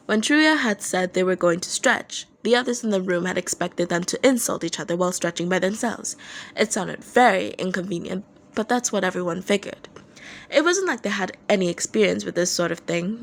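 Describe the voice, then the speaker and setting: A woman reads fan fiction aloud as an amateur narrator. Her voice is medium in pitch, and she tells the story expressively. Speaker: amateur audiobook reader.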